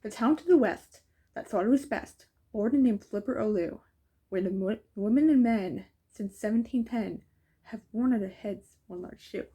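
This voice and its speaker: A woman with a soft, mellow tone and a middle-pitched voice reads a children's storybook aloud. She delivers it as rhyming narration and slips into casual, chatty asides. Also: moderate pace. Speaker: storybook reader.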